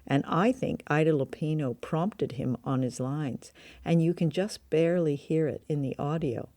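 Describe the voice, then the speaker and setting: Female co-host, mellow tone, dry studio sound, informative but casual chat. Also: sits low, normal speed, dry recording. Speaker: co-host of an old-time radio review show.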